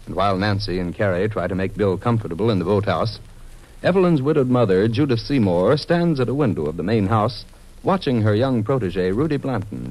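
A man narrating an old-time radio soap opera as its announcer, in a measured, dramatic scripted style. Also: fairly low pitch, darkish tone, poor audio. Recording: vintage radio broadcast. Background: music.

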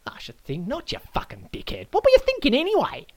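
A man's voice: sits high, sing-song, normal speed, a bit bright, no echo, pitched above the performer's own voice, full character voice. A man voicing a blustering host who swears and mocks his guest. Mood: mocking. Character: loud brash Australian male host, bullying.

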